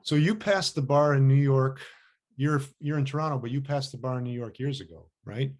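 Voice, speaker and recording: A man hosts a podcast interview in a relaxed, chatty way, often laughing and repeating words as he lines up questions. His voice sits at a middle pitch. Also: fairly quick. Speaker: podcast host. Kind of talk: conversational interview.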